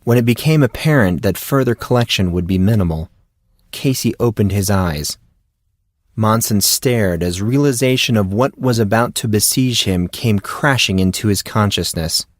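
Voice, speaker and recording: A man's voice: fairly low pitch, dry recording, studio quality. A man reading a fantasy story as scripted audiobook narration.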